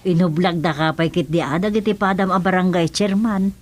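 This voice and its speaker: A woman's voice: on the low side, steady tone, fairly quick, close to an everyday voice. An actress playing a chatty wife who admires and advises her husband in an Ilocano radio drama-comedy, with an Ilocano accent. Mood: admiring.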